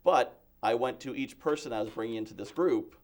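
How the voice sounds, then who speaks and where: A man's voice: mid-range voice, a little fast, darkish tone. A man from Massachusetts, a guest in an interview, telling self-deprecating stories in a chatty way. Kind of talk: casual interview talk.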